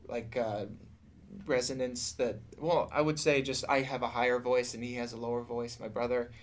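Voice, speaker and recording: A man's voice: mid-range voice, level tone, normal speed. A man in his thirties chatting earnestly and reflectively as a musician in a casual radio interview. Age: 30s. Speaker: musician, tribute duo singer. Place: small radio studio, indoors. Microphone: studio mic on boom arm.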